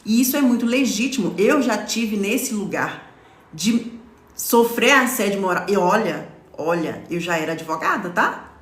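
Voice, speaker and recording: A woman in her forties from Brazil talks on a live stream as a lawyer explaining workplace rights. Her speech is casual and explanatory, with frequent check-ins to the viewers. The voice sits in a middle pitch and carries a noticeable echo from the room. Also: lively intonation, measured pace. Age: 40s. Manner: explanatory, stops often to read comments, says "tá?". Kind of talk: live-stream talk to followers.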